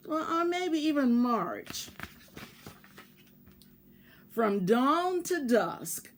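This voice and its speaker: Very slow-paced woman reading a children's story. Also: fairly high pitch. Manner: measured, pausing to show pictures to young listeners. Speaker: volunteer children's story reader. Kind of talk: read-aloud picture book storytelling for children.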